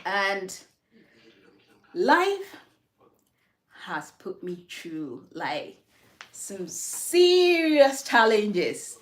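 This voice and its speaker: Slow, reflective woman chatting on a livestream. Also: medium pitch. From Ghana. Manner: slow, reflective, faith-filled talk, answering comments. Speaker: livestream host. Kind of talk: casual livestream chat with viewers. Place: her home.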